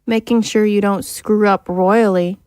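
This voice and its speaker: A woman's voice: mid-range voice, fairly even intonation, measured pace, darkish tone, clear, resonant tone, no room sound, lightly acted. Woman as a dry-recorded, snappish female prison guard. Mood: scornful. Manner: snappish, sarcastic. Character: bossy, scornful female prison guard, hostile and sarcastic. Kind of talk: audio drama acting.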